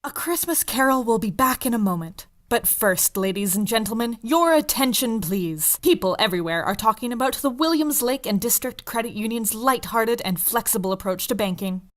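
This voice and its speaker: A woman's voice: fairly high pitch, expressive, normal speed, few pauses, close to an everyday voice. A woman acting as an old-time radio announcer, reading a sponsor message in a polished, presentational way. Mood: promotional.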